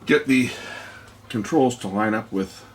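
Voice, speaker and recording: A man's voice: lowish voice, unhurried, a little hiss or hum. A man giving casual hands-on narration as host of an electronics repair video, half muttering to himself as he works.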